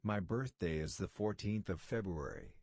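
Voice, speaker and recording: A man's voice: lowish voice, measured pace, a little mellow, dry recording, studio quality. A man giving short, evenly read English prompts for a language lesson.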